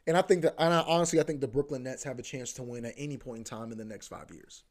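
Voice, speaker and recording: A man's voice: mid-range voice, fast, darkish tone. A man hosting a podcast, rambling casually about sports, repeating himself, swearing and saying "bro" a lot. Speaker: podcast host.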